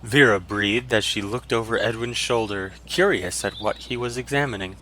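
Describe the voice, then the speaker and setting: A man's voice: fairly low pitch, normal speed, pushed and bright, a little hiss or hum, low-quality recording. A man narrating a fantasy story in a dramatic, scripted audiobook style.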